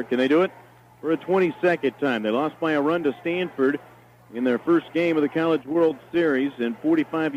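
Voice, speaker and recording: Guy doing baseball play by play, short clipped calls, muffled old broadcast with some background noise. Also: a little high. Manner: clipped descriptive phrases. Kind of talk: live radio game commentary. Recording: dull lo-fi broadcast, steady noise.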